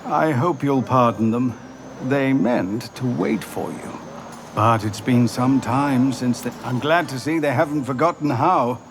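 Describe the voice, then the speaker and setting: Actor as a measured, slow-spoken gallant adventurer man. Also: medium pitch, background noise, lightly acted. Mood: warm, reflective. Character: courteous, reflective male fantasy adventurer companion. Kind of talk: video game dialogue.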